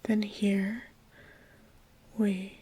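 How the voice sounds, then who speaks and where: Slow, breathy, husky whispering god acted by a woman. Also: mid-range voice, little pitch movement, warm tone, exaggerated voice. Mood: soothing, guiding. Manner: slow, careful, reassuring explaining. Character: gentle, patient trapped god, soft and reassuring. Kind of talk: ASMR roleplay, scripted intimate monologue.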